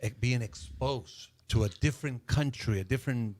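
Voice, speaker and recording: A man from Mexico hosts a panel at a middle pitch. He asks eager, broken questions and cuts in before his guests finish. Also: moderate pace, darkish tone, slight background noise. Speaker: host. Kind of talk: interview hosting.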